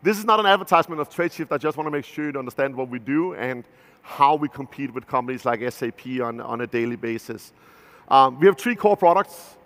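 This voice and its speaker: A man's voice: mid-range voice, expressive, a little fast, fairly bright tone. A man in his thirties with a Danish accent gives a conference keynote as a company founder, talking loosely with many fillers and restarts. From Denmark. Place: large conference stage. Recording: long hall reverb from a big venue.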